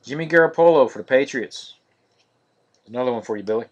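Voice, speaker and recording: A man hosting a live-streamed card break, naming each card in a steady, monotonous voice. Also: medium pitch, quick pace, dull audio. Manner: monotonous, steady listing of cards.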